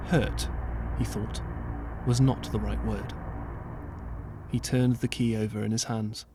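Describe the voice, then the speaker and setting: A man narrating an audiobook as scripted storytelling, measured and earnest, with dramatic pauses. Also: on the low side, flat delivery, background noise. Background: clear background music.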